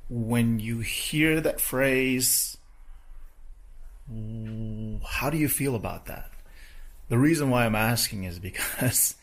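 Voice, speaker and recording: A man with a hoarse voice talking casually in a vlog, rambling between thoughts. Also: mid-range voice. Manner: rambling, with fillers. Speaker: vlogger.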